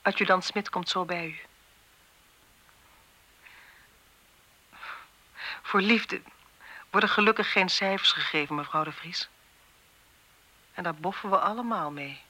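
A woman's voice: fairly low pitch, sharp tone, rough recording. A woman playing a composed police investigator in a radio play, firm and probing yet compassionate. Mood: firm, sympathetic. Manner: measured, firm, counselling. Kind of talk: Dutch radio play acting.

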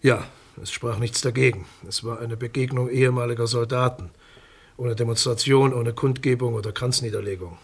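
An actor playing a state prosecutor in a radio crime drama, speaking in a measured, bureaucratic and somewhat condescending way. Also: male voice, mid-range voice, fairly even intonation, breathy edge. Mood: defensive, formal. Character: dismissive, formal male state prosecutor defending his findings.

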